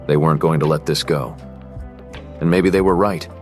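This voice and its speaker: A man playing a cocky rich high school boy who is quietly smitten, narrating and speaking in a teen romance drama. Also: steady tone, moderate pace, soft background music. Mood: brooding, reflective. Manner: smug, cool, guarded. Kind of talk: first-person narration and dialogue in a teen romance.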